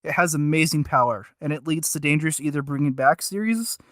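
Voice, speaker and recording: Male podcast co-host, bright slightly high voice, joking and halting delivery, very dry close sound. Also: no room sound. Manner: joking, halting, self-interrupting. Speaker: podcast co-host reviewing audios. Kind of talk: casual review chat.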